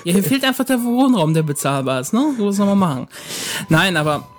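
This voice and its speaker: High-voiced man telling stories casually. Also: sing-song, a little fast, faint music behind. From northern Germany. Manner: rambling storyteller, many fillers. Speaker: podcast guest. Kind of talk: casual podcast chat.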